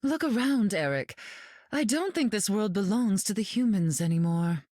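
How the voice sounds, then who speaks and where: A woman's voice: medium pitch, lively intonation, normal speed, darkish tone, dry recording, clean studio sound, close to an everyday voice. An actress playing a glamorous, cutting mutant queen in a video game, arch, flirtatious and condescending in manner. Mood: dry, condescending.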